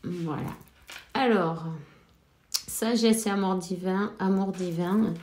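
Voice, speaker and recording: Woman, head cold, mid-pitched, hesitant fillers, echoey room. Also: darkish tone, a little hiss or hum. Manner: slow, hesitant, many fillers. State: has a cold. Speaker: spiritual card reader. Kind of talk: casual spiritual advice talk.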